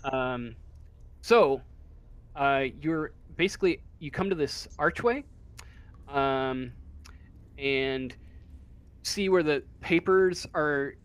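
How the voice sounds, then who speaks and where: Slow, halting male game master. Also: a little high, animated, clean tone. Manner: halting, searching for words, casual. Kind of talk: improvised narration of a tabletop game.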